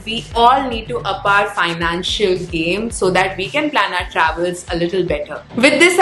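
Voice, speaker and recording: High-voiced young Indian woman, roomy sound. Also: sharp tone. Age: young adult. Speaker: travel vlogger. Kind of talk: scripted travel narration. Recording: roomy, music underneath. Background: background music.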